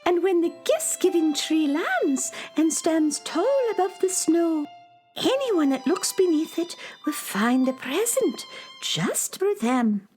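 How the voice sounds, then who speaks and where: Very high, kindly pixie. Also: female voice, very animated, slow pace, a little mellow, soft background music, full character voice. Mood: wondering. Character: kindly, chirpy female forest pixie. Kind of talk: children's radio drama acting.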